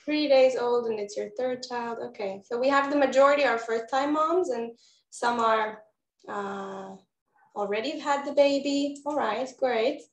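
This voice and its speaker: A woman's voice: fairly high pitch, unhurried. Echoey Israeli-born woman presenting a webinar. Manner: warm, encouraging, addresses audience directly. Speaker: postpartum doula presenter.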